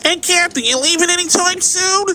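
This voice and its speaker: A man's voice: very high voice, moderate pace, pushed and bright, a little hiss or hum, heavily acted. A man playing a cheeky junior police officer who teases his boss in an audio drama. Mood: teasing. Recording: faint music behind the voice.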